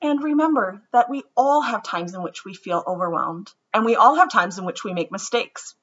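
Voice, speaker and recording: Woman storybook reader, expressive and gentle, bright voice, dull lo-fi recording. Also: medium pitch, normal speed, husky. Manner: expressive storytelling, gentle and reflective when talking afterward. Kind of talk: children's read-aloud.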